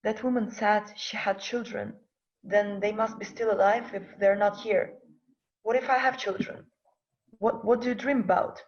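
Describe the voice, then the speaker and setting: A slightly muffled, lo-fi recording of an anxious young woman acted out by a woman. She is hesitant and full of questions, in a middling pitch, as part of a dramatic table read. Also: steady tone, normal speed, close to an everyday voice. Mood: curious. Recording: dull lo-fi sound.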